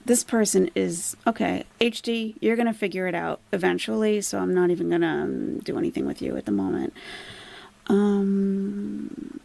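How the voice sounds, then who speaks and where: A woman's voice: medium pitch, very animated, moderate pace, vocal fry, dark tone. A woman hosting a casual live commentary stream, explaining things emphatically to viewers and asking rhetorical questions. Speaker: livestream host, YouTube commentator.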